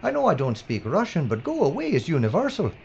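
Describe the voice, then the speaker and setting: A man's voice: a little high, huge pitch swings, fast, gravelly, darkish tone, full character voice. An actor playing an anxious, whiny, bumbling film crew man in an audio drama. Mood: exasperated. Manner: flustered, whiny, babbling. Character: anxious bumbling male film crew member, easily flustered. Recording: dull, noisy audio drama recording.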